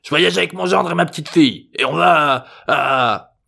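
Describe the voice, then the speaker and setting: A man voicing a cranky, defiant old man with a hoarse, gruff voice, in an audiobook story. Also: on the high side, normal speed, sharp tone, voice pushed up, heavily acted. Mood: irritated, defiant. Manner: indignant, gruff, swearing.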